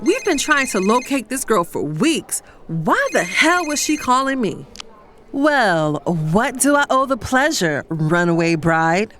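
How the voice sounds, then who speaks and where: A woman's voice: medium pitch, a bit bright. Actress playing conflicted woman in an audio drama, confiding and exasperated, voice swings up and down a lot. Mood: shocked, then sly, guarded. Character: conflicted, lovestruck professional woman narrating her affair. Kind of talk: dramatized first-person audio fiction narration.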